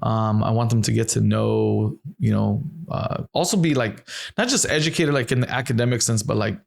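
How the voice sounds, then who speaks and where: A man's voice: on the low side, moderate pace, gravelly. A young adult man hosting a podcast, chatting in an easygoing, affirming way. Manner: easygoing, affirming, casual slang. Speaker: podcast host. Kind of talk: casual interview chat.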